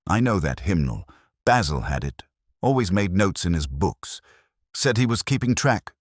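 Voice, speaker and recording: A man voicing a frank elderly woman calmly gossiping in a detective story, matter-of-fact. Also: deep voice, moderate pace, no room sound, clean studio sound.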